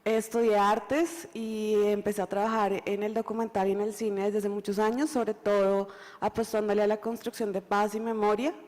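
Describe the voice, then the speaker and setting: A woman from Colombia introducing herself on a panel in a composed, even manner. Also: medium pitch, normal speed. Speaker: panelist, co-director. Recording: reverberant hall.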